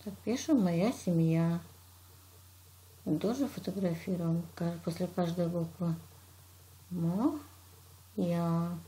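A woman's voice: lowish voice, takes it slowly, pauses often, dark tone. A woman teacher from Russia walks a child through a drawing lesson step by step, patiently praising and narrating each action.

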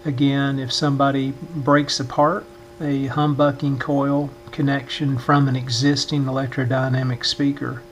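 A middle-aged or older man narrating a hands-on demonstration in a measured, explanatory way, often pausing mid-phrase. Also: medium pitch, slight background noise. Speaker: vintage radio restorer giving a demonstration.

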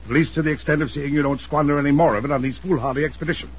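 An American actor playing a wealthy, middle-aged, domineering and hot-tempered uncle in an old-time radio drama, pompous and blustering. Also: male voice, fairly high pitch, steady tone, racing, slightly rough. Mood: angry, blustering. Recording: dull, hissy old radio broadcast.

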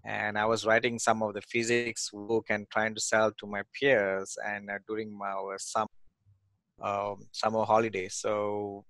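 A man in his forties from Malaysia speaks in a fairly low voice over a headset on a video call. The sound is dry and close, with no room around it. He talks hesitantly, with many fillers and restarts, as an interview guest. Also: monotone, measured pace, fairly bright tone, no room sound. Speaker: guest, real estate investor. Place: home office with bookshelves.

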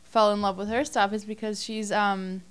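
A woman in her late twenties whose first language is Romanian, answering questions on a casual podcast. Her voice is smooth and clear at a middle pitch, and she talks softly, filling gaps with "like" and quick giggles. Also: little pitch movement. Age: late 20s. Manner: soft-spoken, giggly, many filler likes. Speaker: guest.

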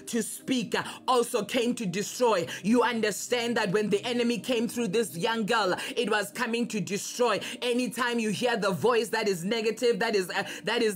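A preacher gives a fervent sermon full of prophetic declarations over music. The delivery is emphatic and insistent, with heavy repetition that urges listeners on. The voice sits at a medium pitch.

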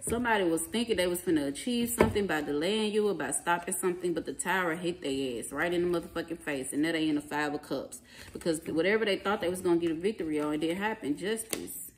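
A woman's voice: on the low side, fast talker. A woman giving an informal tarot reading in blunt, profane, emphatic and slangy conversational speech. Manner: colloquial, slangy phrasing. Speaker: tarot reader. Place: small indoor living room at a table. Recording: clearly noisy home recording, card clicks.

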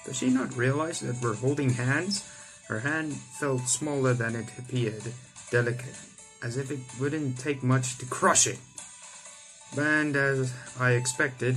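Young adult male gaming host, mid-pitched, casually reading story text aloud with off-the-cuff remarks, music underneath. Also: fairly bright tone, rough recording. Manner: stumbles over text and rereads lines. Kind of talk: reading visual novel aloud with casual commentary. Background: game music.

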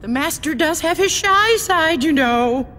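A woman's voice: high voice, animated, unhurried, bright, forceful tone, exaggerated voice. A woman voicing a kindly, motherly older teapot in a video game, reassuring in manner. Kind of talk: cartoon character in video game. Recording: hall reverb, faint noise.

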